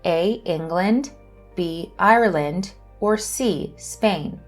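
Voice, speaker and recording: A woman hosting an English lesson, reading questions and answers slowly and carefully. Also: lowish voice, soft background music. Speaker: English teacher and channel host. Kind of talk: scripted lesson narration.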